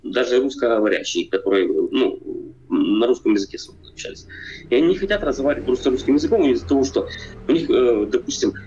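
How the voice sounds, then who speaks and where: A man's voice: mid-range voice, lively intonation, quick pace, warm tone. Man from Ukraine, forties, hesitant with many fillers and restarts, muffled lo-fi webcam sound, video call from home. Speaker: video chat guest. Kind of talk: casual debate over video chat. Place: home room, seated in armchair. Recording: dull lo-fi video call audio.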